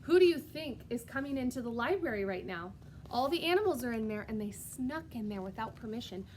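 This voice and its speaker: A woman reading a storybook aloud as a preschool teacher, expressive and sing-song, pausing to put questions directly to young children. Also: fairly high pitch, slight background noise.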